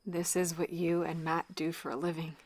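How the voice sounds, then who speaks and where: Soft-spoken, lowish-voiced gentle doctor. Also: female voice, monotone, moderate pace. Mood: awed, hushed. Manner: hesitant, questioning, soft-spoken. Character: gentle, probing female children's doctor. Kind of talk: naturalistic audio drama acting.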